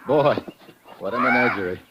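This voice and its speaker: An actor playing a tough, wry American correspondent investigator in a brisk, hard-boiled 1950s radio drama style. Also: male voice, medium pitch, close to an everyday voice. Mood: wry, probing. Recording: dull, noisy old radio recording.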